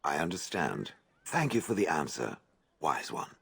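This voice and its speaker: A man voicing a deadpan, dryly mocking stone golem in a video game, speaking with formal detachment. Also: rumbling low, moderate pace, vocal fry, husky, full character voice. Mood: dry curiosity. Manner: formal, detached, dry wording.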